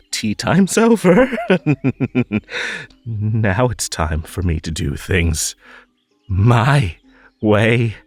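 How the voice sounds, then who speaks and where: A man playing a possessive, sweetly menacing magical bunny in an intimate roleplay audio, coaxing and hypnotic in a drawn-out, soothing delivery. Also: on the low side, sing-song, dry recording, heavily acted. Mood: unhinged, gleeful. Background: music.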